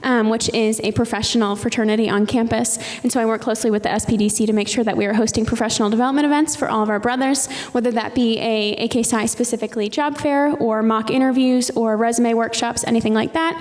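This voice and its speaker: A woman's voice: on the high side, steady tone, fast talker, few pauses, a little mellow. Upbeat young woman, echoing hall. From North Carolina. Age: 20s. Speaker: student panelist. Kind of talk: panel discussion. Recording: long hall reverb.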